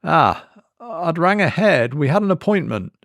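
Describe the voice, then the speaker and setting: A man's voice: fairly high pitch, big pitch swings, unhurried, a bit bright, dry recording, pitched above the performer's own voice, heavily acted. Nervous male travelling salesman read by a man, horror audiobook: courteous, hesitant, lifted voice, dry sound. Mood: nervously polite. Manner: hesitant, overly polite, rising inflection.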